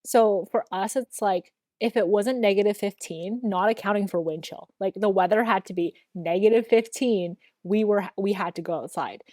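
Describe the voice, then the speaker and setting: Young woman podcast co-host, mid pitch, chatty, dry close sound. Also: normal speed, hardly any pauses, mellow, dry recording. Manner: chatty, filler-heavy, drops low for asides. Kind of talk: casual chat.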